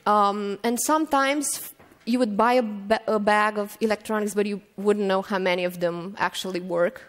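A woman in her thirties speaks into a stand mic on a conference stage, her voice carrying a long reverb through the hall. She tells her stories in a relaxed way, with frequent ums and small restarts. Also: mid-range voice, normal speed. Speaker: keynote speaker. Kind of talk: conference talk. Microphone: stand mic at lectern.

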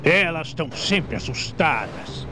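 A man with a Brazilian accent playing a fat, food-obsessed, stubborn gangster in a game fan dub, with a gravelly voice. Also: fairly high pitch, lively intonation, unhurried, background noise. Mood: laid-back.

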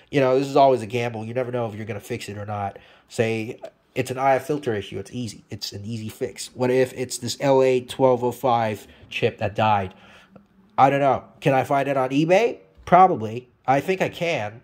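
A man's voice: mid-range voice, a little mellow. A man talking casually and off the cuff as a hobbyist repairer, rambling and blunt, swearing freely. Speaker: hobbyist radio repairer and video maker. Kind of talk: casual, unscripted repair update.

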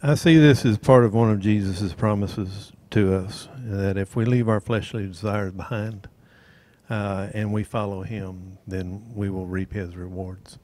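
A man speaking slowly and reverently on a devotional panel and leading a closing prayer into a handheld mic. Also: fairly low pitch, steady tone, darkish tone. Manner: slow, measured, reverent. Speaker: church member.

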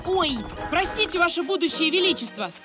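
A woman's voice: very high-pitched, expressive, a little fast. A woman voicing a polite, earnest young animal adventurer in a children's cartoon. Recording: loud music behind the voice.

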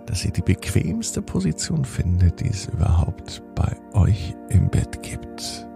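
A middle-aged man hosting a children's podcast, telling a bedtime story in a slow, soothing, gently animated way. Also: fairly low pitch, gravelly.

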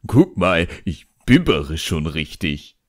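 A man voicing a cocky, talkative djinn in an audiobook, mocking and smooth-talking. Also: sits low, sing-song, slow, exaggerated voice. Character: cocky, sarcastic, chatty ancient djinn, teasing and flattering.

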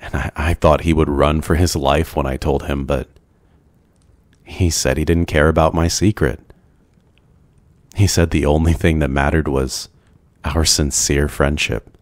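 A man voicing a brooding, lonely ancient vampire in an ASMR roleplay, gentle, courteous and soft-spoken, with hesitant pauses. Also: rumbling low, steady tone, no echo. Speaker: roleplay voice performer. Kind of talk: ASMR boyfriend roleplay, scripted.

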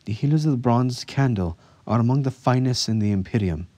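A man's voice: medium pitch, a little fast, mellow, no echo, pitched above the performer's own voice, close to an everyday voice. A man voicing a firm, earnest female healer with a formal, insistent manner in an audiobook story. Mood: reassuring. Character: earnest, firm healer sister, a woman of authority.

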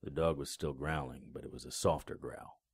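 An actor in an audio drama playing a cynical, sardonic, hard-boiled private investigator with a terse delivery. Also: male voice, rumbling low, fairly even intonation, a little fast, heavy background noise. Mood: grim narration. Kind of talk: audio drama acting with noir narration.

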